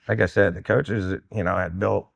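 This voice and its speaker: A man's voice: low-pitched, steady tone, brisk, vocal fry, no echo. A man in his fifties from New Jersey, a wrestling coach talking candidly and casually in an interview. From New Jersey, United States. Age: 50s. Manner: candid, old-school. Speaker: high school wrestling coach.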